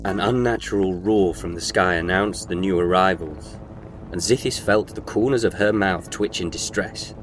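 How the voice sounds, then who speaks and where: A man's voice: low voice, moderate pace, faint music behind, poor audio. A man reading scripted narration for a short audio story.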